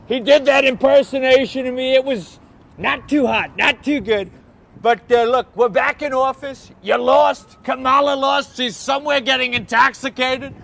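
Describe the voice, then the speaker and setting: High, creaky, brash politician. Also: male voice, fairly bright tone, full character voice. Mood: taunting, then boastful, mocking. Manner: repetitive, taunting, wheedling. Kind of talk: street prank heckling in character.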